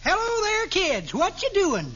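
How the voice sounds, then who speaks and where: A man's voice: very high voice, wildly swooping pitch, a little slow, exaggerated voice. An actor playing a cheerful elderly man who tells rambling tall tales in a folksy drawl, in 1940s radio comedy style. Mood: folksy, amiable. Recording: muffled noisy vintage radio recording.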